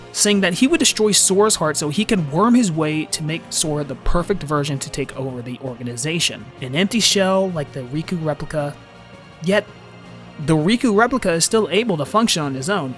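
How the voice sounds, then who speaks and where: High-pitched, very animated male video essayist. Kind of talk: informal scripted fan commentary.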